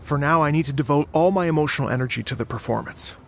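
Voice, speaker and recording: A man's voice: on the high side, fast talker, creaky, heavy background noise. An actor playing an earnest, nervous male musician in a video game, hesitant and fretful. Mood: resolved. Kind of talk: video game character acting.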